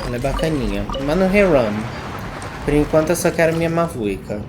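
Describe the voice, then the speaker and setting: A man's voice: fairly high pitch, animated, fast, background noise. A man with a Brazilian accent giving casual, joking, slangy commentary as he plays a game, music behind him. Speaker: gaming streamer.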